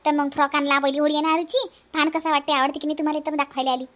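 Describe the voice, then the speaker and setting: A woman's voice: sits high, flat delivery, rapid-fire, fairly bright tone. A woman voicing an outspoken, sarcastic younger sister-in-law in a family cartoon, blunt and emphatic, with a Varhadi Marathi accent. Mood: chatty. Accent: Varhadi Marathi from Vidarbha. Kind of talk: homely family cartoon voice acting.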